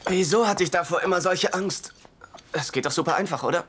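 An actor playing a good-natured young fiancé, earnest and eager, in a dubbed sitcom. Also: male voice, high voice, a little fast, background noise, lightly acted. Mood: elated. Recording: loud music behind TV dialogue.

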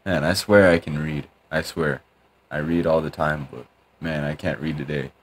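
A man's voice: rumbling low, fairly even intonation, moderate pace, slight background noise. A man casually commenting while playing a game, rambling and joking at himself. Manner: rambling, self-deprecating, mumbly. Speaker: gaming youtuber. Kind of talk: casual let's-play commentary.

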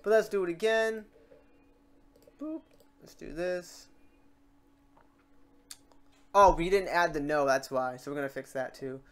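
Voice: medium pitch, fairly even intonation, a little slow, long pauses. Informal tutorial host thinking aloud. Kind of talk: unscripted software tutorial. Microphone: voice-over for a screen recording.

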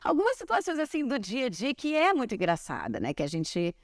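A woman's voice: on the high side, a little fast, no room sound. Chatty woman, dry close sound. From Brazil. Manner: chatty, animated storytelling with hand gestures. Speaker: actress and guest. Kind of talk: relaxed interview chat. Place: large bright living room, seated on a sofa. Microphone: small clip-on mic on collar.

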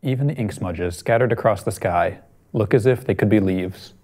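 A man's voice: fairly low pitch, moderate pace, warm tone. A man in his twenties reading a picture book aloud and chatting casually in a studio. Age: 20s. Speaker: storytime reader. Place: small TV studio at a desk.

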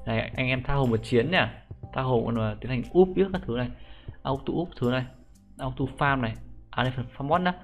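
A young man giving a casual, slangy game tutorial as an excitable YouTuber, talking viewers through a menu. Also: medium pitch, a little fast. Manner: informal, excitable slang, repeated sentence-end particles. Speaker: gaming YouTuber. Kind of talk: casual screen-recorded game script tutorial. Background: music.

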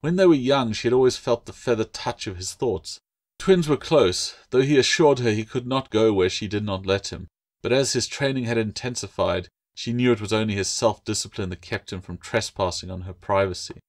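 A man with a fairly low voice narrates a fantasy novel. It is a scripted audiobook reading by the author himself. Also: moderate pace, dry recording.

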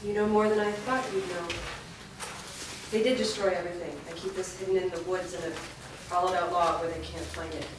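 Woman reading a cautious defensive villager in a play, lower voice, noisy background. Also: fairly even intonation, a little fast, close to an everyday voice. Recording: echoey room, far mic, clear noise.

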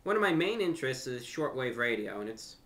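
Young guy hosting a vlog, bright forward voice, talks kinda formal and careful, repeats words as he goes. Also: mid-range voice, sing-song, brisk. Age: young adult. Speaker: YouTube host and amateur radio broadcaster. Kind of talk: unscripted talk to camera. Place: furnished room at home, indoors.

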